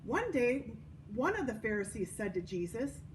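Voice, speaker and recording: High-voiced woman telling stories, distant noisy recording. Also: big pitch swings, moderate pace. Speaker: church children's story time host. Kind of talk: children's story reading and devotional talk. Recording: distant microphone, noticeable background noise.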